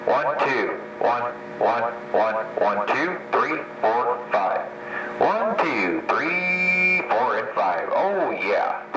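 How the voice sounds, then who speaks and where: A man's voice: mid-range voice, sing-song, very slow. A man doing a microphone test in short bursts, heard through a radio. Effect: heard through a radio, some echo. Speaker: radio technician testing audio. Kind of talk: slow microphone test counting. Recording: echoey, noisy radio monitor playback.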